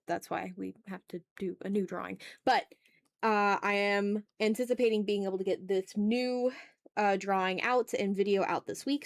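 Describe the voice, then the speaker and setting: A woman talking informally to viewers as she draws on a livestream, often breaking off to greet people in the chat. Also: medium pitch. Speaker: host of an art livestream, video creator. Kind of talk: casual livestream chat with viewers while drawing. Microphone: streaming mic, newly placed a little far away.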